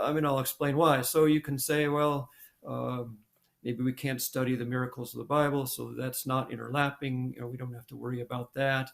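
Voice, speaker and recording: A man in his sixties, a scientist guest, answering at length over a video call, halting with many fillers and restarts. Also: mid-range voice, creaky. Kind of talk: thoughtful interview answer. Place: home room with posters.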